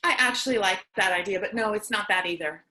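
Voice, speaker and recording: A woman playing a lonely, chatty, excitable apocalypse survivor in a theatrical comic staged reading. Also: medium pitch, quick pace, lightly acted. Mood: excited, chatty. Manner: theatrical, chatty, swings between outbursts and cheer.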